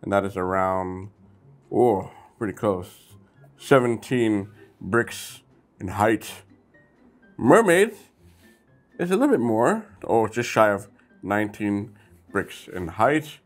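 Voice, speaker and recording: A man hosting a casual toy review vlog, chatty and easygoing, with sudden bursts of excitement. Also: fairly low pitch, takes it slowly, pauses often, soft background music.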